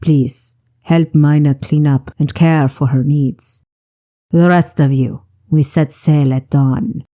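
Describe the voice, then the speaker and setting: A woman voicing a calm, shrewd male ship captain who gives measured, authoritative orders in an audiobook. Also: low voice, voice pushed down. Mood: commanding. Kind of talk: audiobook character voice.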